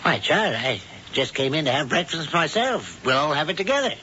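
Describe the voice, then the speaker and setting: An actor playing a jovial older blacksmith who is hiding something, hearty and nervously evasive, in a 1970s radio drama. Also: male voice, on the high side, sing-song, normal speed, pushed and bright, slight background noise. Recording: dull old radio broadcast.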